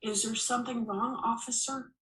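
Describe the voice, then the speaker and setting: A woman's voice: on the high side, steady tone, moderate pace, fairly bright tone, voice pushed up, natural voice. Woman acting a nervous woman in trouble, echoey. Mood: nervously polite. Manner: whining, pleading. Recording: roomy, echoing voice recording.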